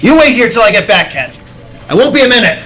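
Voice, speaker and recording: A man acting a brusque, hurried newspaper editor with a husky, barking delivery in old-time radio style. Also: sits high, big pitch swings, a bit bright, poor audio. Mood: brisk.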